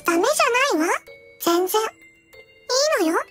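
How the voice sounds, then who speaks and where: Very high-pitched clingy fairy girl. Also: over music. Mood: pleading, tearful. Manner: cutesy, pleading, halting. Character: clingy, childlike doll-like fairy girl, sweet and needy. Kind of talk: Japanese anime-style audio drama acting.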